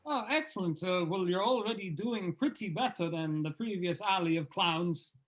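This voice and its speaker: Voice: medium pitch, normal speed, lightly acted. Actor as jaded male rock musician, sardonic, blunt, sweary, table-read delivery, noticeable background noise. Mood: dry. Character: foul-mouthed, sardonic, jaded male rock band member. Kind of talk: informal script table read.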